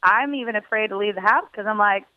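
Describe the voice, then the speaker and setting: A woman's voice: medium pitch, quick pace, no echo. A young woman from Pennsylvania, a singer, chatting casually as an interview guest with lots of laughs and restarts over the phone. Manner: chatty, giggly, many restarts. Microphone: telephone. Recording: tinny phone line.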